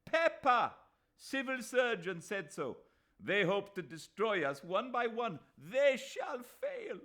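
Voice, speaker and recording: A male reader voicing a furious male agitator who yells every line, shrieking slogans. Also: sits high, huge pitch swings, takes it slowly, voice pushed up, full character voice. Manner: shrieking, yelling.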